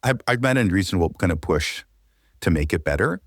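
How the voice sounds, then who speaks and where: A man's voice: low-pitched, lively intonation, brisk, no room sound, studio quality. A man talking casually as a podcast guest, informal and matter-of-fact. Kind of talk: casual conversational podcast talk.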